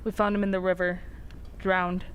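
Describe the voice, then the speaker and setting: A woman's voice: lowish voice, little pitch movement, moderate pace, lightly acted. A woman playing an earnest, plain-spoken village sheriff in an old-time radio drama, with a grave, matter-of-fact manner. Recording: faint background noise.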